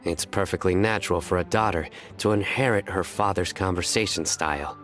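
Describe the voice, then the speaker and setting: A man voicing a stern, earnest young officer in a video game, with formal, deadpan delivery, over music. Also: deep voice, fairly quick. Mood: earnest, solemn. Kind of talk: scripted game dialogue acting.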